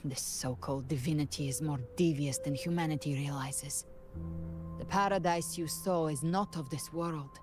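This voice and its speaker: A woman playing a grave, resolute young warrior in a video game, speaking in a solemn, formal, measured way. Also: low voice, lightly acted. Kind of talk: scripted video game dialogue. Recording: game audio under loud music.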